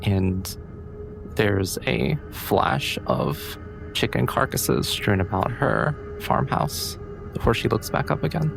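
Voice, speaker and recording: Creaky-voiced man narrating his roleplay character. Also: fairly low pitch, very animated, slow, over music, poor audio. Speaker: player in a tabletop game. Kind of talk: third-person narration of own character.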